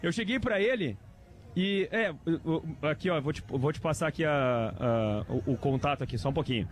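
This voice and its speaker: A man's voice: a little high, lively intonation, normal speed. A man from Brazil, reporting from the field as a sports reporter and interviewing fans in an upbeat way.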